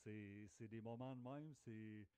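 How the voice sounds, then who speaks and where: A man's voice: lowish voice, unhurried, warm tone, smooth, no room sound. A man with a Quebec French accent chatting casually as co-host of a teaching podcast. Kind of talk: casual conversational podcast talk.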